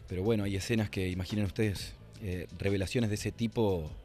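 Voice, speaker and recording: An Argentine man in his forties talks as an interviewed radio producer. His voice is fairly low and his delivery reflective and halting, over faint music. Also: steady tone, dark tone. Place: indoor library.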